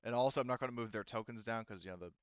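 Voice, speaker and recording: A man's voice: mid-range voice, rapid-fire, smooth, no room sound, dull audio. A man acting as game master, casually explaining and narrating a tabletop game in a hesitant way.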